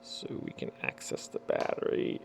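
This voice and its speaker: A man's voice: normal speed, noisy background. A man hosting a casual product unboxing, chatting in a folksy way and muttering to himself as he works. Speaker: unboxing video host.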